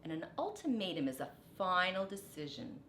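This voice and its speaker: A woman's voice: on the low side, very animated, normal speed. A woman teacher narrating a storybook to children in an explanatory, questioning manner. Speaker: teacher reading aloud. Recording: roomy echo, background noise.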